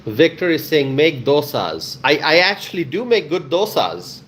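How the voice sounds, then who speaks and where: A man's voice: on the high side, very animated, a little slow, fairly bright tone, slight background noise. A man from Bangladesh hosting a casual livestream, reading out viewer comments and answering them, often repeating phrases for emphasis. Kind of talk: casual live chat with viewers.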